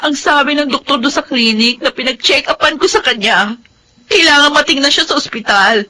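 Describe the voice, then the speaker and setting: A woman's voice: sits high, moderate pace, sharp tone. An actress playing an indignant, distressed young mother in a radio drama, emotional and defiant.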